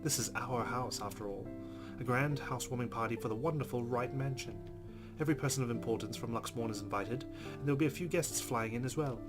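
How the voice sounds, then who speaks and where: A man hosting a let's play, reading the game's narration aloud expressively with quieter casual asides. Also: mid-range voice, a little fast. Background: guitar game music.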